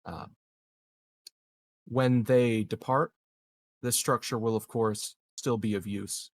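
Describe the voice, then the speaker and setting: A man playing an evasive, scheming leader of a space colony in a tabletop roleplay, speaking in a hesitant, hedging, measured way. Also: mid-range voice, fairly even intonation, dry recording. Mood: guarded, persuasive. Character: smooth, evasive, scheming male colony leader in science fiction.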